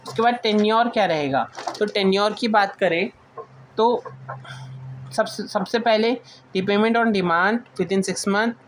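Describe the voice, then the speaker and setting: Woman, Indian accent, mid-pitched, informal teacher, explanatory, repeats points, mixes in English terms, light background noise. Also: slow, rough recording. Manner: explanatory, switches between Hindi and English terms, restates points. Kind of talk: informal exam lecture, explaining notes point by point.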